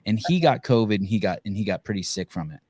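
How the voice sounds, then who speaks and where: A man's voice: on the low side, expressive, fast, a little mellow, dry recording, studio quality. A man hosting a podcast interview in casual chat, rambling with many restarts and casual swearing. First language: Armenian. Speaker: podcast host.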